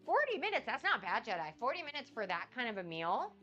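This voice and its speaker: Woman, cooking stream host, sniffly cold, bright tone, dull lo-fi audio, faint music behind. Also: fairly high pitch, big pitch swings, fast. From United States. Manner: chatty, rambling, reads out and answers chat comments. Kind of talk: casual cooking livestream chat with viewers.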